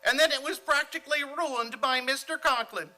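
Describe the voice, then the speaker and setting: Radio comedy role: overdramatic teen schoolboy, very shrill and high, earnest, florid, indignant. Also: male voice, moderate pace, sharp tone, heavily acted. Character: eager, indignant, grandiloquent teenage schoolboy. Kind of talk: old-time radio comedy acting.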